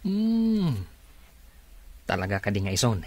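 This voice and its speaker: A man's voice: medium pitch, wildly swooping pitch, a little slow, darkish tone, no room sound. A man playing a teasing, sociable workmate in a radio drama, with an Ilocano accent. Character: teasing, sociable male workmate, a joking buddy.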